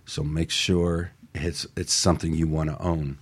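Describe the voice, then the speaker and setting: Deep-voiced man co-hosting motivational talk radio. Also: little pitch movement, a little slow, husky. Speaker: radio show co-host.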